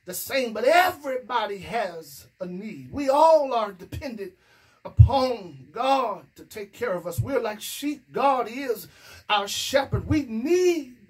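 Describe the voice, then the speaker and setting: High-voiced husky preaching man. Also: lively intonation, unhurried, low-quality recording. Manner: preaching with rising emphasis and repeated "amen". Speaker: pastor. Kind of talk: sermon. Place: small indoor room against a plain wall. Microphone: stand microphone in front of mouth.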